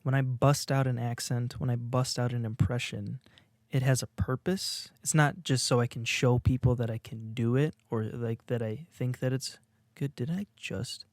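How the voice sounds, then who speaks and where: A young man talks off the cuff on a podcast, mocking himself and restarting his sentences as he goes. His voice sits in an ordinary middle pitch. The recording is dry and close, with no room sound. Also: fairly even intonation, moderate pace, darkish tone, no echo. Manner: rambling, self-mocking, many restarts. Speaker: podcast co-host. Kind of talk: casual comedic monologue.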